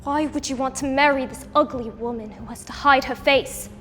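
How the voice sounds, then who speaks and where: Haughty, high-voiced rival, heavy reverb. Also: female voice, normal speed, bright, forceful tone, soft background music. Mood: scornful. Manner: smug, cutting. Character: haughty, spiteful female rival claiming to be bride. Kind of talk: period romance audio drama acting.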